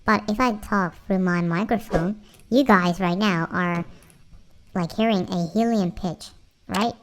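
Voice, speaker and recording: A man demonstrating software casually, his voice raised by a pitch-shifting voice changer into a helium-like demo voice. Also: measured pace, slight background noise. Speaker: tutorial host.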